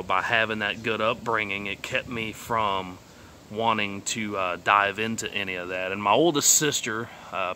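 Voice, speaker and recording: Texan man, late thirties, low-set voice; loose, filler-heavy personal testimony told outdoors. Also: sharp tone, a little hiss or hum. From Texas. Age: 30s. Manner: rambling, plainspoken, many fillers and trailing asides. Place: outdoors on a sunny day.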